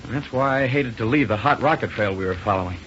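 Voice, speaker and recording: A man's voice: medium pitch, fast talker, a bit bright, natural voice. A young space pilot hero voiced by a man from a vintage radio adventure. He talks in an earnest, folksy, eager way. The sound is muffled and slightly hissy. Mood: puzzled, determined. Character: earnest, plain-spoken young male rocket pilot hero. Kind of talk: 1930s radio acting.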